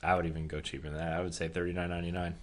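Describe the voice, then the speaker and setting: A man's voice: deep voice, flat delivery, racing. A man hosting a casual gaming podcast, talking informally and reasoning things through with examples. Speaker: podcast host.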